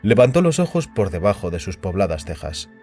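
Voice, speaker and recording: A man's voice: deep voice, sing-song, fairly quick, darkish tone. A man narrating a scripted fiction story as a dramatic audio production. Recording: music bed behind the voice.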